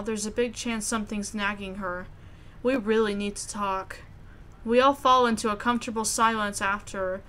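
A woman reading scripted story narration aloud in an expressive way. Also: mid-range voice. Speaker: video game player reading narration aloud. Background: music.

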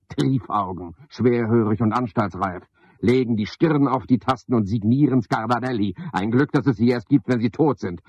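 An actor playing a garrulous, pompous, sardonic porter at a boarding house, with a husky voice, in a radio play monologue. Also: male voice, lowish voice, darkish tone, dry recording, highs cut off. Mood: scornful, self-satisfied. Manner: rambling, pompous monologue with mocking asides. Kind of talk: 1970s German radio play acting.

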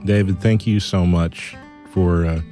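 Deep, mellow male interviewer. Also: steady tone, unhurried. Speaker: interviewer, producer. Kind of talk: casual interview talk. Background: soft guitar music.

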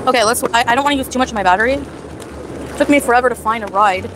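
A woman's voice: fairly high pitch, very fast, noisy background. A woman in her thirties livestreaming on her phone at an outdoor night market, chatting casually and reading out viewers' names between bites. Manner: chatty, reads chat names aloud while eating. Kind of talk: casual live stream chat. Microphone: phone at arm's length. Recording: outdoor phone stream with loud background music.

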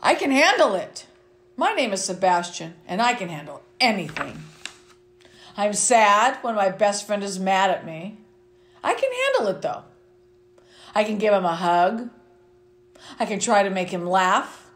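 A middle-aged woman reading a children's picture book aloud to students in an expressive way. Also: medium pitch, a little slow, long pauses. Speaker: school vice principal reading to students. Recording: roomy, echoing sound.

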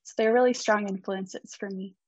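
A woman's voice: medium pitch, steady tone, normal speed, dry recording. A young woman in her twenties giving an academic conference talk over a video call, polite and earnest with frequent ums. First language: not Icelandic. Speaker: student presenter. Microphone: computer mic on video call. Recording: dry, slightly dull video-call audio.

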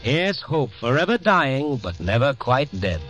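Old-time radio: American night reporter, hard-boiled, dramatic storyteller, music behind. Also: male voice, mid-range voice, very animated, a little slow. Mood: brooding narration. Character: hard-boiled, sympathetic male night-beat newspaper reporter. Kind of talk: vintage radio drama narration. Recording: vintage radio recording.

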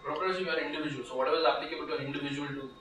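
Fast-talking Indian lawyer in an echoey room. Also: male voice, on the high side, fairly even intonation. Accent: Indian English. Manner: conversational, lots of restarts and fillers, gestures while standing. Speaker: workshop speaker, lawyer. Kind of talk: informal legal workshop talk. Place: small seminar room with projector. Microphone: far camera, no mic. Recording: echoey room from far off, background noise.

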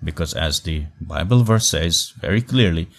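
A man with a very low voice teaches a recorded online class. He speaks in short, measured phrases and stresses key words with pauses. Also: animated, vocal fry. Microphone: voice-over on slides.

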